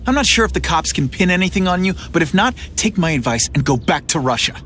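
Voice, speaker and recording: An actor playing a sarcastic, joking young superhero in a game cutscene. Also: male voice, a little high, very animated, fast, a bit bright, a little hiss or hum. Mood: wisecracking. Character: wisecracking young male superhero.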